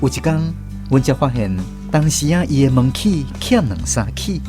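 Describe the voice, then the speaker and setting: An actor narrating as a former conscript in a radio drama, telling scripted first-person memories with a Taiwanese accent. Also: male voice, medium pitch, animated, normal speed, lightly acted. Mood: reflective. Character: wry former conscript narrating his island army memories. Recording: music bed under narration.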